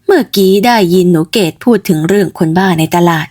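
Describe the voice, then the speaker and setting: A woman's voice: medium pitch, animated, fast, darkish tone, voice pushed down, lightly acted. A woman voicing a middle-aged village mother in a storybook tale, motherly and chatty with a fretful streak. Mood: friendly, curious. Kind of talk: storybook character voice.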